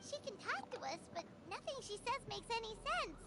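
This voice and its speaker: A woman voicing a tiny, candid, childlike fairy companion with a squeaky voice in a video game. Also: sing-song, moderate pace, loud music behind the voice. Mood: puzzled, concerned. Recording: loud background noise under voice.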